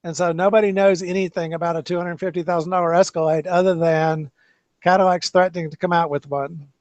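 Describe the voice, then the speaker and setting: A man's voice: sits high, steady tone, brisk, a little mellow, no echo. A man hosting a casual car chat, talking in loose, rambling sentences with many restarts. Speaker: podcast host. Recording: dull lo-fi dry sound.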